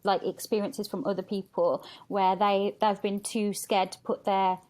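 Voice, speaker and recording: A woman's voice: medium pitch, flat delivery, moderate pace, a little mellow. A woman talking candidly and casually as a podcast guest, chatty and acting out quotes as she tells stories. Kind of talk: candid casual interview chat.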